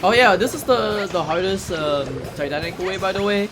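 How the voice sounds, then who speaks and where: A man's voice: high voice, lively intonation, moderate pace. A man giving casual, chatty live commentary while playing a video game as a speedrunner. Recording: game music behind the voice. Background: video game music.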